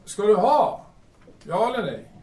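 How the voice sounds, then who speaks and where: A man playing a skeptical, nagging absinthe-drinking playwright in an audio drama, halting and hemming as he mutters. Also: high-pitched, very animated, mellow, far from the mic, a little hiss or hum. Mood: sullen. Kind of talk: audio drama dialogue in Swedish. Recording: echoey room, off the mic.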